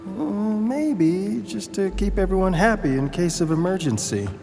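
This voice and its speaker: An actor playing a breezy, curious commanding officer with a casual, jokey manner in an audio drama. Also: male voice, high-pitched, expressive, measured pace, creaky, big-room sound, music in the background, full character voice. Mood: amused.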